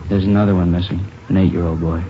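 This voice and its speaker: A man playing a businesslike policeman reporting evidence in a flat, factual way in a 1950s radio drama. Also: sits low, fast, lightly acted. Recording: dull noisy old radio recording.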